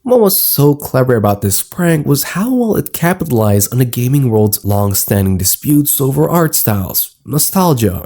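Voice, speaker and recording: Man narrating in a dark, mellow voice. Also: medium pitch. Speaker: video narrator. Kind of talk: scripted explainer narration.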